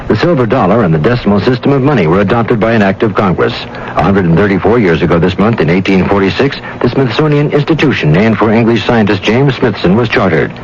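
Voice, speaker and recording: A man reading a scripted radio announcement in a clipped, formal announcer style. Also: on the low side. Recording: muffled, faintly noisy radio recording.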